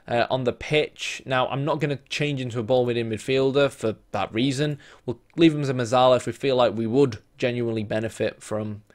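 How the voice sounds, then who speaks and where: Guy commentating his football manager save, voice going a bit, chatty with lots of ums. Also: mid-range voice. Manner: chatty, thinks aloud with many fillers, bursts into excited play-by-play. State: losing his voice. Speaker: gaming YouTuber.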